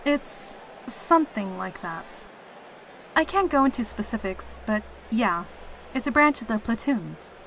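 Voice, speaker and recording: A woman's voice: a little high, expressive, measured pace, fairly bright tone, slight background noise, poor audio. A woman voicing a carefree, teasing female pegasus soldier in a fan audio drama. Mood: casual, breezy. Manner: breezy, teasing, cheerful.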